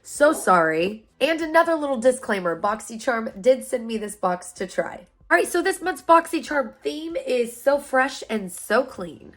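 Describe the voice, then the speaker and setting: A woman in her thirties, a beauty vlogger, sounding hoarse and stuffed up from a sinus infection while chatting upbeat straight to her viewers about products. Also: high voice, very animated, unhurried, hardly any pauses. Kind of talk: product unboxing review.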